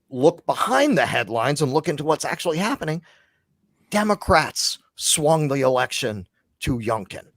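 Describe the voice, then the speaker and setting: A man's voice: fairly high pitch, no echo. A man hosting a political podcast, making points emphatically and repeating them for force. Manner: emphatic, argumentative. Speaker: podcast host.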